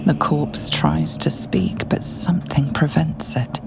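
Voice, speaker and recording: A woman's voice: deep voice, slow, creaky, music in the background, exaggerated voice. A woman narrating a fantasy game as a solemn storyteller. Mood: solemn, hushed. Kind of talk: scripted narration.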